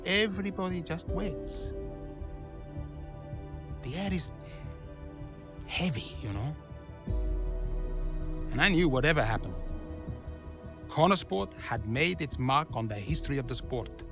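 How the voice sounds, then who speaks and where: A slow, expressive, reflective team boss. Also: male voice, a little high, over music. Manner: measured, dramatic pauses. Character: reflective, passionate male underdog team boss being interviewed. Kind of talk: documentary-style interview acting.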